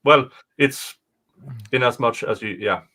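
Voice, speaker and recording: A man with a bright, forceful voice of average pitch takes the part of co-host. He reads written passages aloud and talks about them in a casual way. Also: a little slow. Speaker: podcast co-host reading a document aloud. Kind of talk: reading aloud with casual chat.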